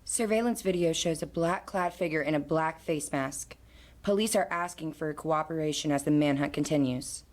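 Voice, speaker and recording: An actress plays a composed female news anchor in an audio drama. Her voice is low and she delivers formal, scripted news reports. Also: moderate pace, a little mellow, lightly acted. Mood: formal, urgent.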